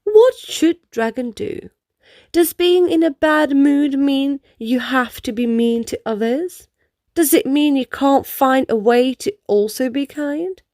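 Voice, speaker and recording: A woman reading a children's picture book aloud in an expressive storytelling style. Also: sits high, takes it slowly, dry recording, clean studio sound.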